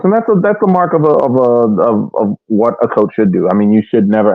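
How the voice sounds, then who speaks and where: A man co-hosting a casual soccer podcast, speaking haltingly and repeating words as he forms his point. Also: lowish voice, no room sound. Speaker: sports podcast co-host. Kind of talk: casual fan chat about soccer. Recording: thin phone-like, radio-like call audio.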